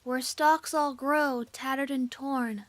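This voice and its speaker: A woman's voice: high voice, fairly even intonation, no echo. A woman voicing a young cartoon filly, a plaintive little pony girl in a fan fiction audio drama. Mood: quiet reciting. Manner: plaintive, drawn-out cries.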